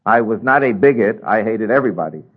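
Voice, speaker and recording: A man's voice: on the low side, lively intonation, fast, slightly rough. Older American man preaching over a tinny line. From United States. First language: Spanish. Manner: storytelling testimony with many ums and uhs. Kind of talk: sermon.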